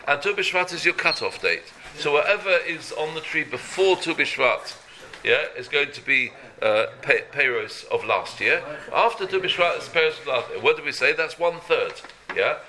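A man teaching an informal Torah class, halting, repeating words and checking in with "yeah?". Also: on the high side, animated, sharp tone.

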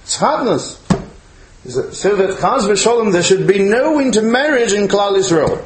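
A man's voice: sits high, lively intonation, measured pace, crowd noise. A man lecturing as a rabbi, teaching religious law in an emphatic, questioning way to listeners in the room. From outside Chile, now living there. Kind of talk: religious lecture to a live audience. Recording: echoey room, faint noise, clicks.

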